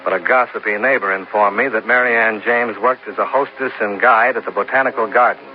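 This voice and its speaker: An actor playing a cool, wry insurance investigator in a 1950s radio detective drama, with a dry, matter-of-fact delivery. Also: male voice, lowish voice, fairly even intonation, brisk, faint music behind, close to an everyday voice. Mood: dry, narrating. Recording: muffled, noisy old radio recording.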